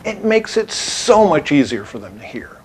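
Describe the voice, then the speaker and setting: A man presenting a scripted product overview in an instructional, emphatic, clearly structured way. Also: on the high side, sing-song, moderate pace, a little hiss or hum. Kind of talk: scripted explainer narration to camera.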